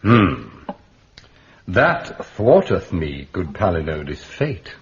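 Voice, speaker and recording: A man playing a courteous, understated English gentleman sleuth in a radio drama, polite and dryly diffident. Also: deep voice, very animated, slow pace, breathy edge, exaggerated voice. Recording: dull lo-fi radio drama recording.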